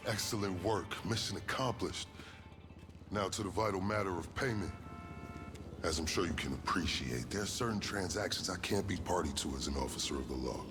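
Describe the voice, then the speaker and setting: A man's voice: low voice, moderate pace, natural voice. A man voicing a smug, scheming police officer in a video game, speaking in a formal, verbose and sly way. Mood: sly, pleased. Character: smug, wordy, scheming male corrupt-fighting police officer. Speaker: police officer giving criminal jobs. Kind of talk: scripted video game cutscene acting. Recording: loud music behind the voice. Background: loud music.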